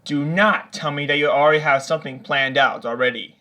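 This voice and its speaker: A man's voice: a little high, fairly even intonation, fairly quick, bright, forceful tone. A synthetic male voice playing a stern, commanding police officer with stilted delivery. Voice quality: synthetic text-to-speech voice.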